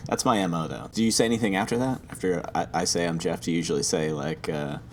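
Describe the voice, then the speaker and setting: A man's voice: deep voice, quick pace, slight background noise. A man co-hosting a podcast in casual chat, teasing his partner and laughing a lot. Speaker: podcast co-host.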